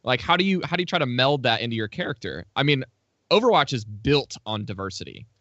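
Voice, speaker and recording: A man's voice: medium pitch, big pitch swings, fast talker, a bit bright, dry recording. A man hosting an interview show, asking questions in a rambling way and laughing often. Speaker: podcast host. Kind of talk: casual interview chat. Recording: dry, dull lo-fi audio.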